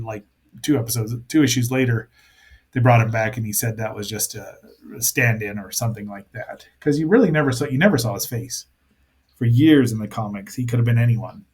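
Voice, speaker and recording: Man giving halting, playful cartoon commentary. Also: mid-range voice. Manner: halting, thinking aloud, playful asides. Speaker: host of a cartoon review show. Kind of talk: casual rambling commentary on a cartoon.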